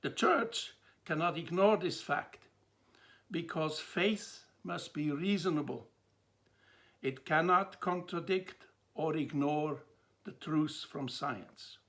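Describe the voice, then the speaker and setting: An older man with a Swiss accent speaks very slowly and deliberately, breaking his thoughts into short pauses. His voice sits a little high for a man and carries a gravelly rasp. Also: a bit bright. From Switzerland. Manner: measured, pausing between phrases. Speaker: theologian presenting a talk. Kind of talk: slow, deliberate lecture to camera.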